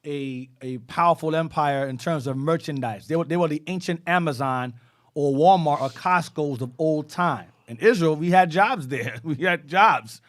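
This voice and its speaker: A man's voice: fairly high pitch, normal speed. A man teaching a bible class, explaining between readings and prompting the reader to go on.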